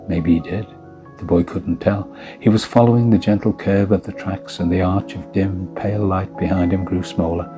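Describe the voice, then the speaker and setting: A man narrating a scripted ghost story in a measured, deliberate, solemn manner. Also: low-pitched.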